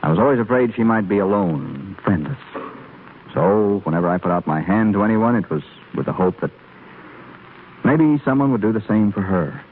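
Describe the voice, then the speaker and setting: An actor playing an earnest, anguished doctor who speaks haltingly in a 1930s radio drama. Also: male voice, lowish voice, lively intonation, lightly acted. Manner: halting, stammering when troubled. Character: earnest, anguished male doctor longing for lost love. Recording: scratchy, muffled 1930s radio broadcast.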